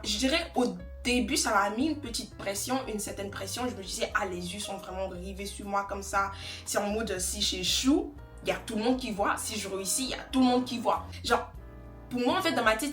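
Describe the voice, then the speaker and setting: A woman's voice: on the high side, a bit bright, echoey room. A young woman from Côte d'Ivoire chatting casually while doing her makeup, rambling and laughing often. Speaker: beauty vlogger. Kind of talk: casual get-ready-with-me chat.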